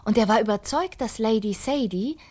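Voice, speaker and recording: An actress playing a storyteller in a scripted radio play, narrating a whimsical fairy tale. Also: female voice, a little high, moderate pace, a little mellow, natural voice. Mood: storytelling. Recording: music under the voice.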